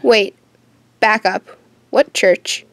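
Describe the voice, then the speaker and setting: An actress playing a defiant, sharp-tongued teenage witch in an audio horror drama, intense and dramatic. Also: mid-range voice, extremely slow. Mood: incredulous.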